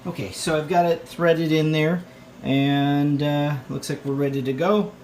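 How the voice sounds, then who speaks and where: A man giving a casual show-and-tell in a halting, rambling, enthusiastic way, recorded indoors at home on a handheld phone. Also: on the high side, fairly even intonation, darkish tone, a little hiss or hum. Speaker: vlogger, tape collector. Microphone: handheld phone near the speaker.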